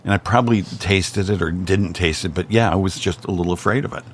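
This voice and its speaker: A man's voice: deep voice, fast, slight background noise. A man, a guest on a radio talk show, chatting with dry, wry humor. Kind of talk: casual chat.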